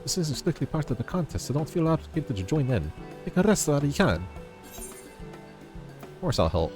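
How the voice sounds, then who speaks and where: A man's voice: a little high, big pitch swings, normal speed, a little mellow. A man streaming a video game, reading its dialogue aloud and chatting casually, sometimes stumbling over the words. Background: game music.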